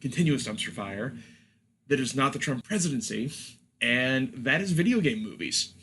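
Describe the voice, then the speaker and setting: A man's voice: mid-range voice, lively intonation, normal speed, a bit bright, low-quality recording. A man hosting a casual film chat, with a wry, joking manner. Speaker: podcast host.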